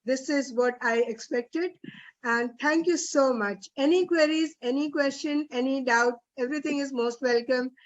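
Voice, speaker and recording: Indian female workshop trainer, high voice, motivational and emphatic, muffled online-call sound. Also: normal speed. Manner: encouraging, emphatic with repeated phrases. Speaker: guest trainer leading an online teacher workshop. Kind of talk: informal motivational closing talk to trainees. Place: online video session. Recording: dull, lo-fi online call audio.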